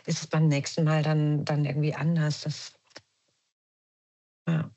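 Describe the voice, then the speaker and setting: Low, hesitant woman in thoughtful talk. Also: level tone, a little slow, mellow. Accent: German. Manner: thoughtful, hesitant, many restarts and fillers. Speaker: guest. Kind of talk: reflective conversational podcast talk.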